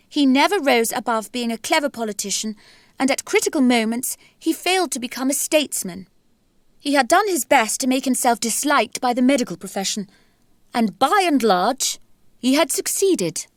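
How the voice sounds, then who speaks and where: An actress reading out a spiteful, formal written verdict in a severe, condemning manner for a documentary. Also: female voice, high-pitched, dry recording, studio quality. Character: woman reading a spiteful medical journal's verdict. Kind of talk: dramatised quotation reading.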